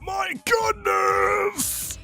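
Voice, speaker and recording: A man voicing a courteous, excitable male giant ape ambassador in a story reading. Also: extremely high pitch, very slow, vocal fry, shouting, faint music behind, pitched above the performer's own voice, full character voice. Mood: amazed. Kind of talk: storybook character voice.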